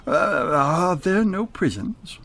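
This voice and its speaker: An actor playing a grumpy, miserly old Victorian business owner in a radio drama, curt, scolding and scornful. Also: male voice, sits high, expressive, very slow, creaky, a bit bright, heavily acted. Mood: cold, dismissive.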